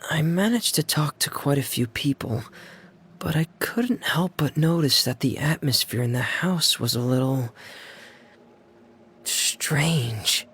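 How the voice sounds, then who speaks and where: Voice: mid-range voice, expressive, slow pace, fairly bright tone, slight background noise. A performer playing a shy, gloomy young boy in a video game, hesitant and subdued. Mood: hesitant, uneasy.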